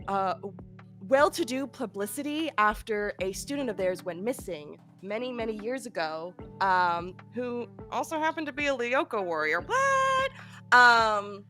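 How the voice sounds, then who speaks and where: A woman's voice: a little high, sing-song, unhurried, pushed and bright, faint music behind. A woman game master with a cold and a nasal voice, recapping the last session in a chatty, giggly way with many fillers. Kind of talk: narrating a tabletop game recap.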